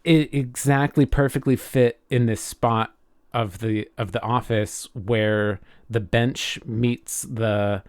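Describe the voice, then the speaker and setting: Man, podcast co-host, casual storytelling, enthusiastic, halting restarts, mid pitch, dry recording. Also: fairly even intonation, clear, resonant tone, dry recording. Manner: halting, repeats words and rephrases often. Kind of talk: casual chat, telling a personal anecdote.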